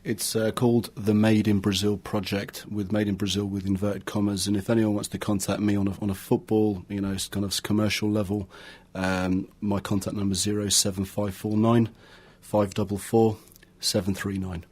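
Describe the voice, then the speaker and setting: A man's voice: on the low side, little pitch movement, moderate pace, hardly any pauses, darkish tone, slightly rough. A man promoting a football charity project as a radio guest, talking fluently with many fillers. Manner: fluent, full of fillers like "uh" and "you know". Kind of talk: radio interview.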